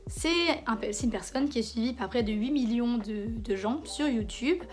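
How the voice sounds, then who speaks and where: A hoarse woman with a cold hosting a casual commentary video, talking conversationally with hesitant restarts. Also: on the high side, normal speed, a little mellow, soft background music. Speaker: video essayist host.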